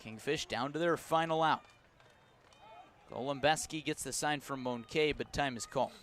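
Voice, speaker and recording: A man's voice: mid-range voice, normal speed, fairly bright tone. A man doing live baseball play-by-play on the radio in clipped, descriptive phrases that build with the action, outdoors at a ballpark. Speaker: play-by-play sports announcer.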